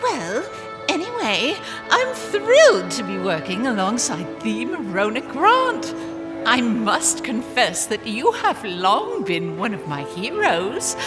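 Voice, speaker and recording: Starstruck genteel fan, very high voice, wildly swooping intonation, effusive, prim, radio adventure. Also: female voice, wildly swooping pitch, slow, heavily acted. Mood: gushing. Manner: effusive, breathlessly eager, prim diction. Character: gushing, starstruck, aristocratic female adventure fan. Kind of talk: 1930s adventure audio drama acting. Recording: music behind the voice.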